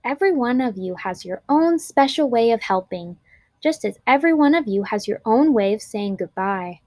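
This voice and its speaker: A woman voicing a kind, patient schoolteacher who gently reassures and encourages her young class in a children's storybook. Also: a little high, lively intonation, normal speed, no room sound, studio quality, close to an everyday voice. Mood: gentle, reassuring. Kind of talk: children's storybook read-aloud.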